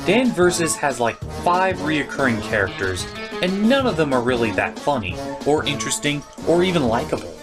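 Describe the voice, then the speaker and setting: A man giving a scripted, opinionated comedic review as a cartoon critic and host. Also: mid-range voice. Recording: music behind the voice.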